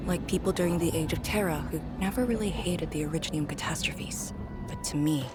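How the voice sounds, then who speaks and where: An actress voicing a melancholy, quietly resolute young woman in a game, breathy, subdued and reflective. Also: low voice, lightly acted. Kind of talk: scripted game voice acting. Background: prominent music.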